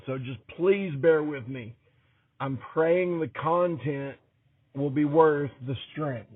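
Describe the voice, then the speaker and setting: A man hosting a podcast with a hoarse, nearly lost voice, talking casually and apologetically to listeners in a halting, plain-spoken way. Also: fairly high pitch, no room sound. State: hoarse, voice nearly gone from illness. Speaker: podcast host. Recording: muffled, phone-like narrow sound.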